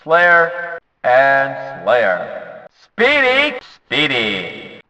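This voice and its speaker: A man playing an excitable video game race announcer, calling out in emphatic, punchy bursts that swing from flat to shouted. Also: mid-range voice, very slow, faint music behind, heavily acted. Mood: excited, hyped. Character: excitable male demolition derby race announcer. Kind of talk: arena-style race announcer name calls. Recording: dull audio with long hall reverb.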